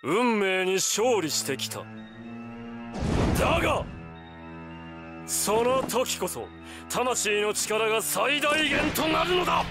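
A high-pitched, pompous vampire villain. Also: male voice, very animated, slow pace, pauses often, over music, exaggerated voice. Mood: grandiose, gloating. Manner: theatrical, pompous, menacing. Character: grandiose, ruthless male vampire villain with godlike powers. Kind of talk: Japanese video game voice acting.

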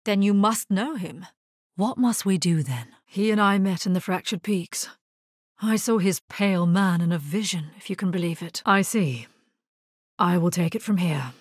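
A woman playing a grave, resolute necromancer hero in a video game, husky-voiced, speaking in a measured, solemn and terse way. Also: lowish voice, no room sound, clean studio sound, lightly acted. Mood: grave, questioning. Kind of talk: scripted video game character lines.

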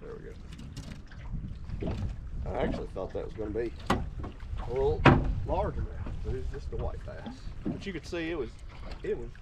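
A young man talks his way through a day of fishing, casual and folksy, chatting to himself and his viewers. His voice sits at an ordinary middle pitch. He is picked up by a camera mounted on the boat several feet away, with loud wind and boat noise around him. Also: very animated, moderate pace, pauses often, recorded from a distance. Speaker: fishing vlogger. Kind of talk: casual running commentary while fishing. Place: open fishing boat on a windy lake, outdoors.

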